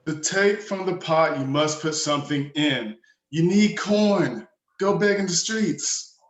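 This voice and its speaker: A man's voice: on the high side, expressive, slow pace. A man playing a flamboyant, eccentric feudal barkeep in an amateur dramatic script reading, recorded in a roomy space. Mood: showy, teasing.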